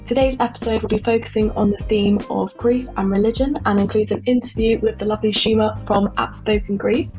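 A woman's voice: mid-range voice, fairly even intonation, fairly quick, few pauses, a little mellow. Woman, 20s, podcast host, friendly, lo-fi recording, faint music. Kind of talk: casual podcast hosting.

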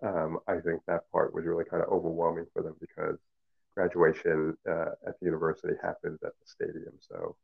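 A middle-aged man, a professor whose first language is Cantonese, answering interview questions in a measured, reflective way with many fillers and restarts. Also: low-pitched, creaky, husky. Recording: phone-like narrow sound.

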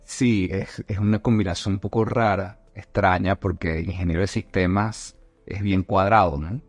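A man's voice: deep voice, steady tone, moderate pace, no room sound, faint music behind. A man from Venezuela, a leadership coach, talking reflectively as a podcast guest, with frequent restarts and fillers. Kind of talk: conversational podcast interview.

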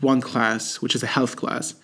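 A man's voice: mid-range voice, level tone, unhurried, husky. Hesitant young man from Egypt. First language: Arabic. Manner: hesitant, many fillers and restarts, laughs softly. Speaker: interviewee, student. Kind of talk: casual conversational interview.

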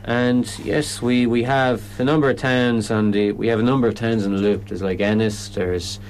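A man's voice: fairly low pitch, fairly even intonation, moderate pace, darkish tone, a little hiss or hum, rough recording. An Irish man explaining his comedy event in a radio interview, often stopping and restarting sentences. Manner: hesitant, lots of restarts.

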